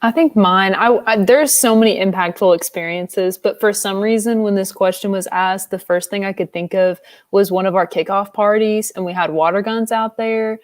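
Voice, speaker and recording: A woman's voice: medium pitch, normal speed, dry recording. Young woman, playful self-mocking storyteller, dead-dry recording. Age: young adult. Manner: lively, self-mocking humor. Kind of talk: panel sharing a story.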